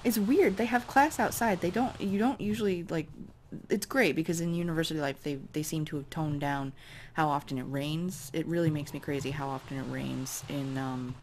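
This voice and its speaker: A woman with a raspy voice giving casual, chatty commentary as she plays a life-simulation game. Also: low-pitched, normal speed, a little mellow, a little hiss or hum. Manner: chatty, musing aloud while directing characters. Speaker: let's play host.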